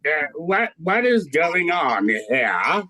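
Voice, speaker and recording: A man playing an absent-minded king in a radio comedy, with a halting, befuddled manner. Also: fairly high pitch, very animated, pushed and bright, heavily acted. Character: beloved, absent-minded old-fashioned monarch. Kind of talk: old-time radio comedy acting.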